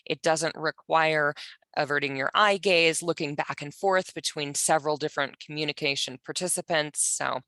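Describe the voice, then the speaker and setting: A woman interpreting for a panelist, speaking in first person in a measured, halting way with pauses. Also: low voice, a bit bright, dry recording. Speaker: sign language voice interpreter for a panelist.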